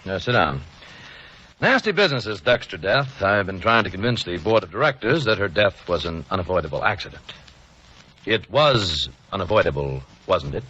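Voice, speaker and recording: An actor playing a proud, exhausted senior surgeon in an old radio drama. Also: male voice, deep voice, very animated, normal speed, pushed and bright, a little hiss or hum, exaggerated voice. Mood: anxious. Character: older male senior surgeon, proud and worn out. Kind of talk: 1940s radio acting. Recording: dull old radio recording with music.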